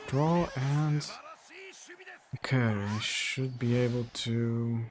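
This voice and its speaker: A man streaming a video game, chatting casually to viewers in a relaxed, rambling way full of fillers. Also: mid-range voice, drawn-out words, background noise. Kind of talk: casual gameplay commentary.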